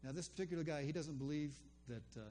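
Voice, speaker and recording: A man's voice: a little high, fast, warm tone, a little hiss or hum. An older man telling a personal faith story in a loose, rambling way, full of ums and restarts. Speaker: storyteller recounting a faith conversation. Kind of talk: casual spoken testimony.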